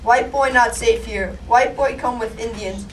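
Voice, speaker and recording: A woman's voice: on the high side, fairly even intonation, unhurried, far from the mic, slight background noise, natural voice, poor audio. A woman voicing an insistent old Native grandfather who speaks clipped broken English.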